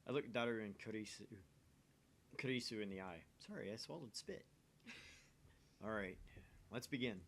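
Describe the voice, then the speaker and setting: Brief: male let's player, lower voice, expressive story narration, faint rumbling noise. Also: normal speed, creaky, pauses often. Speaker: let's player reading a visual novel aloud. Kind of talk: voice-acted read-through with casual asides.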